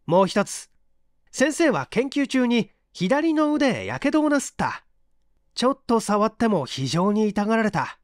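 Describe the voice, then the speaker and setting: A man voicing an earnest, quick-witted young male assistant who speaks urgently and politely in a dramatic story reading. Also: sits high, very animated, no room sound, studio quality. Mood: fierce, accusing. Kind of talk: dramatic audiobook character reading.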